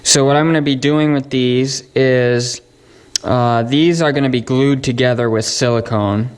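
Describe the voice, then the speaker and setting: A man's voice: medium pitch, steady tone, measured pace, clean tone. A young man presenting a hands-on electronics build in a casual, matter-of-fact, informal way.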